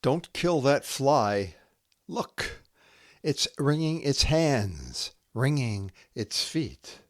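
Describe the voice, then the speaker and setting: A man hosting a poetry podcast, reading scripted lines in a measured, deliberate way with long pauses between short phrases. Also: mid-range voice, very animated, dry recording.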